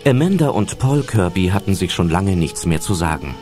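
A male narrator tells a German audio drama from a script. His voice is low and very animated in pitch, laid over background music. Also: moderate pace.

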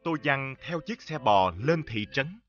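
A man's voice: medium pitch, expressive, unhurried, muffled recording, lightly acted. A man reading scripted narration for a storybook tale.

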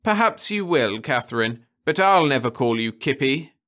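A man's voice: mid-range voice, expressive, moderate pace, fairly bright tone, pitched above the performer's own voice, lightly acted. A man voicing a stern, earnest middle-aged mother who speaks firmly and insistently. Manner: firm, insistent.

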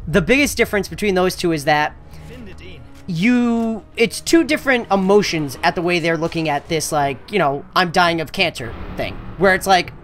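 A man's voice: high voice, lively intonation, normal speed, a bit bright, faint music behind. A young man co-hosting a game playthrough, talking casually with lots of fillers and swearing. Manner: rambling, swears freely, many fillers. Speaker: let's play co-host. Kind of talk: casual chat over gameplay.

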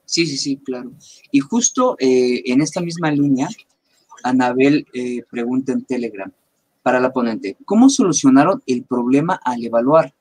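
A man moderating an online talk reads audience questions in a plain way. His voice is a little husky and of middling pitch. The sound is that of a video call. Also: normal speed, darkish tone.

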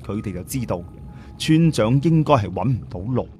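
A man's voice: fairly low pitch, animated, moderate pace, mellow, slight background noise. A man with a Cantonese accent narrating an audiobook story in a colloquial way, with conversational asides.

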